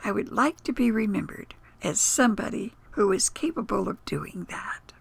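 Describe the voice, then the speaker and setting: A woman with a gravelly, breathy voice reading scripted narration as a presenter in a slow, solemn manner. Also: medium pitch, expressive, dry recording, whispered. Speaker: host and presenter.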